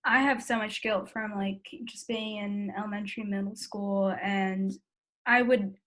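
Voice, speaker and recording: A woman's voice: mid-range voice, steady tone, unhurried, a bit bright. An eighteen-year-old woman with an American accent talking candidly and informally, reflecting on herself with lots of "like". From born in the Philippines, grew up in the United States. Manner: informal youthful talk, heavy use of "like", self-reflective. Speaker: interviewee in a family conversation. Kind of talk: candid casual conversation.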